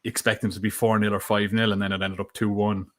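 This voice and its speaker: A man's voice: fairly low pitch, little pitch movement, fast. A man co-hosting a live betting stream, thinking aloud in a casual way, with lots of fillers and restarts. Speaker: co-host and betting tipster.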